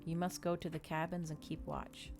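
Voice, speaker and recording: A brooding male vampire, as a woman reads him in a hushed storybook telling. The voice is deep and mellow, grave and confessional, with faint music underneath. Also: flat delivery, normal speed, gravelly. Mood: grave, urgent. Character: brooding male vampire recounting his past. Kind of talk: ASMR storybook reading.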